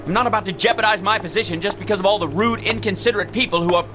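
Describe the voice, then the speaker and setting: An actor playing a fussy, harried, sour office clerk with pompous, formal diction in a radio drama. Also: male voice, sits high, rapid-fire, natural voice. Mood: frantic, pleading. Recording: music behind the voice.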